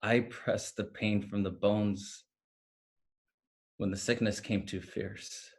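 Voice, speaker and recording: Man playing a slow, wistful fallen fairy. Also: lowish voice, steady tone. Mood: wistful, tender. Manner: slow, lyrical, deliberate delivery. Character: fallen winged healing fairy, tender, wistful, insistent. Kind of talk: staged play reading, dramatic monologue. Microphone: video call. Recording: remote video-call recording.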